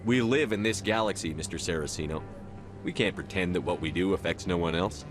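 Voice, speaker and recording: A man playing a composed, polite but firm military commander in a video game, speaking in a measured, matter-of-fact way. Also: low voice, over music, close to an everyday voice. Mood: politely firm.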